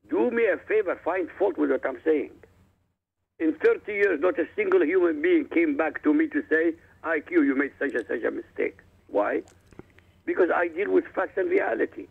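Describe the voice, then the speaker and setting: A man's voice: a little high, expressive, normal speed, gravelly, breathy edge. A man whose first language is Arabic, a guest author speaking emphatically and combatively in a radio interview. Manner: emphatic, combative, addresses listeners directly. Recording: tinny phone line.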